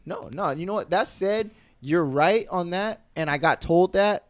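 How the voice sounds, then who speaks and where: A man's voice: high voice, measured pace. A man chatting casually as a panelist on a music critique show, blunt, slangy and freely swearing.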